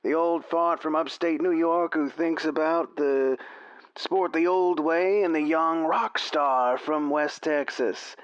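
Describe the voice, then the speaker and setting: Older male radio-play role: folksy, persuasive rodeo announcer, slightly high voice, dull close sound. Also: clear, resonant tone, dry recording.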